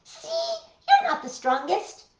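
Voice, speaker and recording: A woman voicing a cheeky tiny male chipmunk in a storytime read-aloud, squeaky and teasing. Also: huge pitch swings, slow pace, exaggerated voice. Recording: echoey room.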